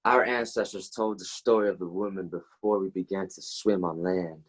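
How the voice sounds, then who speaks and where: A man's voice: deep voice, steady tone, moderate pace. A man playing a solemn, prophetic mutant fish in a staged reading. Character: solemn mutant trash fish, prophetic elder of new species.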